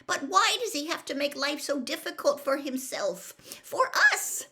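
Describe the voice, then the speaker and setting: A woman voicing an anxious, clever teenage girl who speaks high-pitched, shaky and agitated. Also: expressive, moderate pace, sharp tone.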